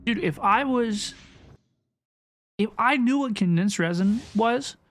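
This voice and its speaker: A woman's voice: fairly high pitch, animated, slow pace, slight background noise. A woman hosting a gaming livestream, chatting casually and often repeating phrases for emphasis. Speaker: livestream host. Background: game music.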